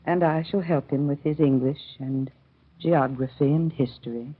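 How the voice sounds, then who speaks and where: Give a woman as the calm mother quiet, matter-of-fact replies, a bit off mic, thin old broadcast tone. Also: sits low, moderate pace, lightly acted. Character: calm sensible mother, steady and kind. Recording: thin phone-like 1930s radio broadcast, distant.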